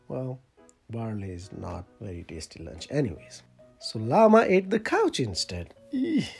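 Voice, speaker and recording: A storyteller reading a picture book aloud to children in an expressive, playful way with dramatic emphasis. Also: medium pitch, creaky. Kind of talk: animated picture-book read-aloud for kids.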